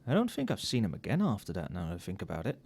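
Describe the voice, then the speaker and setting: A man acts out an overworked wizard professor, a little tipsy late at night, in a soft ASMR roleplay. The voice is lowish and muttering, with musing, wry asides that move freely up and down in pitch. There is a faint noise behind it. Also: wildly swooping pitch, racing, vocal fry, exaggerated voice.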